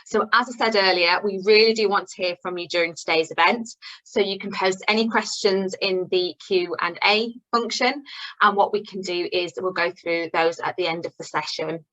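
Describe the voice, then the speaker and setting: A woman's voice: mid-range voice, moderate pace, sharp tone, lo-fi sound. A woman hosting an online event, welcoming attendees in a friendly way with frequent fillers. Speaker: webinar host. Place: online webinar.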